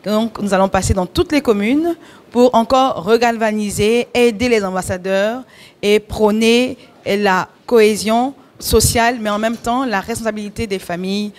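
A woman's voice: mid-range voice, moderate pace. Composed woman. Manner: composed, explanatory, some hesitations. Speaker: NGO president and event organizer. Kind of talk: interview answer. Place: indoor conference room. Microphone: handheld mic.

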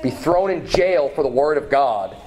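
A man in his thirties preaching a sermon from a pulpit, emphatic, repeating phrases and climbing in pitch when stirred. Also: expressive, moderate pace, a little mellow, low-quality recording. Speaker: pastor. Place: small church hall with banner, indoors. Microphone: far camera, at a pulpit.

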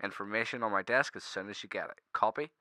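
A man's voice: on the low side, fast, pushed and bright, no room sound, studio quality. A man playing a stern, exasperated professional detective inspector in an amateur radio drama, speaking formally and precisely. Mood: stern, businesslike. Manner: formal, clipped, no contractions.

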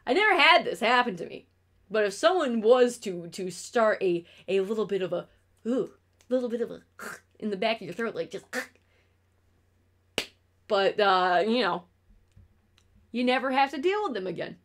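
A woman's voice: on the high side, animated, moderate pace, pauses often, a bit bright. A young woman from New York State with an upstate New York accent, chatting on a casual stream from her bedroom, blunt and ranting, swearing freely.

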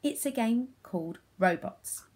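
A woman's voice: fairly high pitch, lively intonation, unhurried, darkish tone, breathy edge. A British woman in her thirties leading an interactive phonics lesson for young children at home, encouraging and questioning, with exaggerated enunciation. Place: small room at home.

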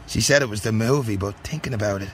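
An actor playing a grieving, hesitant Irish working man in a radio drama, speaking haltingly with an Irish accent. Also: fairly low pitch, fairly bright tone, slight background noise, close to an everyday voice. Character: Martin, grieving, hesitant working son of a dead mother. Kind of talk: naturalistic radio drama acting.

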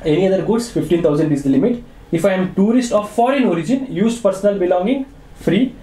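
A man's voice: high-pitched, animated, quick pace, dark tone. A young man with an Indian English accent gives an exam revision lecture, repeating key points and asking and answering his own student-style questions. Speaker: tax teacher. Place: small indoor room, video over lecture slides. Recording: echoey room.

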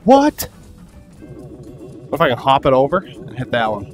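A young man talking through his gameplay, excitable and quick to swear, with frequent laughter. His voice sits at an ordinary middle pitch. Faint game music plays underneath. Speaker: gaming YouTuber. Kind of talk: casual gameplay commentary.

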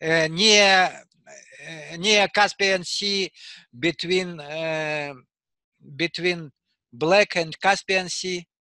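Bright-voiced Russian man, halting. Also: sits high, fairly even intonation, no room sound. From southern Russia. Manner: simple halting phrases, repeats words. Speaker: English learner. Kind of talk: casual conversation practice. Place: indoor room on a video call.

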